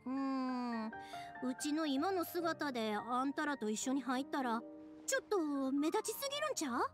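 A cheerful, chatty young anime game heroine speaking with a Kansai accent. Her high voice swings about in pitch, with music playing behind her. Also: big pitch swings, moderate pace. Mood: friendly, explaining. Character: cheerful, considerate, chatty young woman from a fantasy land.

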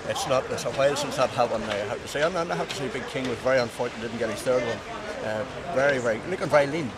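Northern Irish man, halting, crowd behind. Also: mid-range voice, recorded from a distance. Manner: halting, many restarts and fillers. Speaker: county chairman. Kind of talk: post-match interview. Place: outside a sports ground, crowd around. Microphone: handheld mic held by interviewer.

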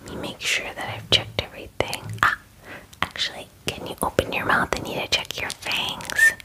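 A woman in her twenties whispering gently and soothingly in an ASMR personal attention roleplay, close to the microphone. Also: low-quality recording. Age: 20s. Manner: gentle, soothing, reassuring, unhurried. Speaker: ASMR roleplayer playing a spa groomer. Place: small bedroom, indoors. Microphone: close microphone, near the camera.

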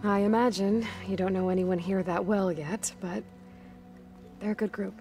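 A woman's voice: mid-range voice, fairly even intonation, moderate pace, lightly acted. An actress playing a composed, plain-spoken young woman adventurer in a video game, patient and reassuring with companions. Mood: reflective. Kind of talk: video game dialogue acting. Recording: loud music behind the voice. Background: music.

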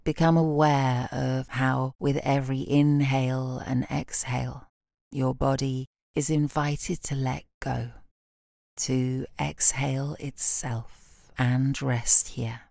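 A British woman leading a guided relaxation meditation in a calm, soothing, soft voice, with gentle instructions and long pauses. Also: a bass voice, vocal fry, no room sound, clean studio sound. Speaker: yoga nidra meditation guide.

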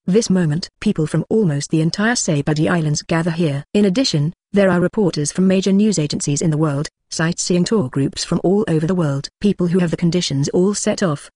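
A synthetic narrator reads a novel in a steady, even delivery of middling pitch, calling out punctuation marks as words. The sound is close and completely dry, with no room around it. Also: no echo. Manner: steady, uninflected reading that speaks punctuation marks aloud. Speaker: audiobook narrator. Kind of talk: synthetic audiobook reading of a translated web novel.

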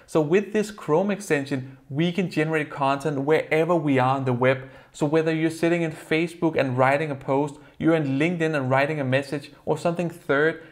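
A young man with a Danish accent reviews software from a desk in a plain room. His voice sits a little high, and his phrasing is earnest and explanatory, with a few non-native turns. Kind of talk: product review to camera.

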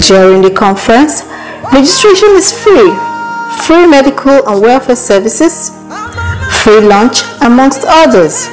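A woman's voice: high voice, lively intonation, unhurried, music in the background, poor audio. A woman reading scripted promotional narration for a documentary.

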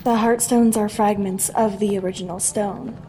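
A woman's voice: mid-range voice, fairly even intonation, normal speed, warm tone, slight background noise, natural voice, rough recording. A woman playing an earnest, knowledgeable adviser at a war council in a fantasy audio drama. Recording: clear background ambience under voice.

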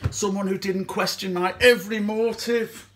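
A young man acting a dramatic stage monologue as a distraught, haunted man, speaking haltingly and emotionally with long pauses. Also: sits high, fairly even intonation, a bit bright, slight background noise. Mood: bitter, fearful. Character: distraught man haunted by his girlfriend's suicide. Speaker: amateur actor.

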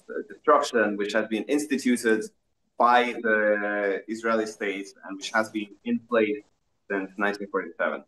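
A man's voice: lowish voice, fairly bright tone, poor audio. Young man from Russia, video call, earnest, stumbling restarts, plain room. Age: young adult. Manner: earnest, many restarts and fillers. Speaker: interviewed activist. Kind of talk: answering questions on a video call. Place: small plain indoor room. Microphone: laptop webcam on a video call.

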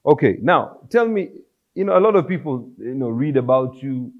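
A man in his thirties hosting a studio talk show, asking questions in a friendly, relaxed way. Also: mid-range voice, moderate pace. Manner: friendly, laid-back questioning. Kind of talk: casual interview. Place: studio set with armchairs. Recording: slightly dull studio audio.

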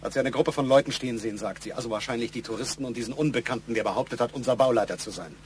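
A man's voice: mid-range voice, rapid-fire, slight background noise, lightly acted. An actor playing an insistent middle-aged boss who questions his employee pointedly in a crime radio play. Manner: pointed, probing, matter-of-fact. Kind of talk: German radio crime drama acting.

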